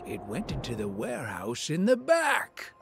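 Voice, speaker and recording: An actor voicing a small, fussy old demon thief in a video game, raspy and prone to indignant spluttering. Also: male voice, a little high, wildly swooping pitch, normal speed, music in the background, full character voice. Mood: sly, pleased. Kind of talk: video game cutscene acting.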